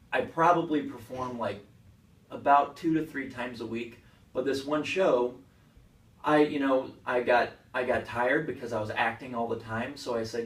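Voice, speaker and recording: A man who performs on stage answers interview questions casually, explaining things simply in a halting, conversational way. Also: mid-range voice. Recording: echoey room, far from the mic.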